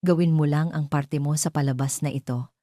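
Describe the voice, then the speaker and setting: A cold, domineering Filipino husband from an audio drama, played by a woman storyteller. The voice is low and dark, speaking in firm, curt warnings with quiet menace. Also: fairly even intonation, a little fast, no room sound, studio quality, close to an everyday voice.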